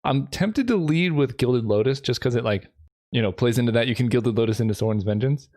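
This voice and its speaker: Hoarse guy musing out loud over a card draft, mid pitched, lots of ums and likes. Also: fairly quick. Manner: thinking aloud, many "um" and "like" fillers. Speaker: gaming video creator. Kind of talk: casual commentary while drafting a card game.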